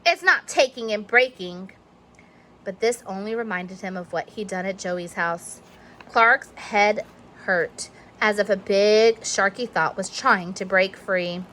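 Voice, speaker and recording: A woman reading a children's storybook aloud in an expressive narrating style. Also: mid-range voice, measured pace, a little hiss or hum. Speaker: storybook reader.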